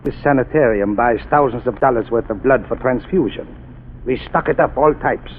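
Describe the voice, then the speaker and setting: A man's voice: medium pitch, normal speed, phone-quality sound, a little hiss or hum, lightly acted. An actor playing a cold, boastful male sanitarium doctor who turns out to be a killer, in a 1930s radio drama. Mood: smug. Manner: cold, smug, menacing.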